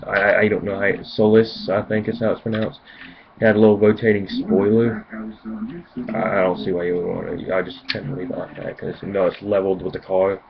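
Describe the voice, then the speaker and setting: Low-voiced teenage guy with a speech impediment. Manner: hesitant, self-conscious, halting phrases. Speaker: amateur toy reviewer on a video channel. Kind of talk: casual, rambling home video review. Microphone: home video camera. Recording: muffled lo-fi camera mic, clear noise.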